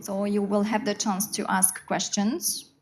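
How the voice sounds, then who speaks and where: A woman's voice: mid-range voice, level tone, a little slow, darkish tone. A woman event host, a native Hungarian speaker, giving spontaneous instructions to an audience with some hesitancy.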